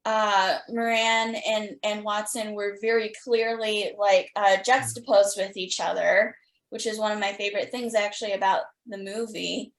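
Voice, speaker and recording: A woman hosting a podcast, talking as an enthusiastic fan in a gushing, halting way. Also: mid-range voice, steady tone, low-quality recording. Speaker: podcast host interviewing guests.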